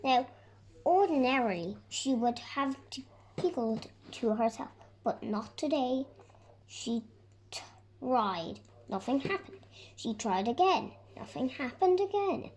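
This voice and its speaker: A woman reading a children's story aloud in an expressive, deliberate storytelling manner. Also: high-pitched. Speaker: storybook reader. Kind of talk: scripted narration of a children's story.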